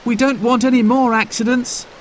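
A man doing a high, eager young boy. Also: moderate pace, a little hiss or hum. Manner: urgent, excited exclamations.

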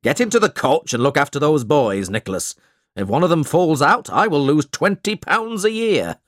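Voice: medium pitch, huge pitch swings, a little slow, dry recording, studio quality. A storybook reader voicing a stingy, blustering middle-aged male schoolmaster who talks in a bossy, falsely generous way.

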